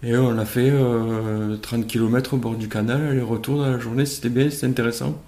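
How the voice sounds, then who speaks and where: A man in his forties with a southern French accent, talking hesitantly with many pauses as an interview guest. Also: medium pitch, fairly even intonation, mellow. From southern France. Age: 40s. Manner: hesitant, many fillers and restarts. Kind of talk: conversational interview. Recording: echoey room.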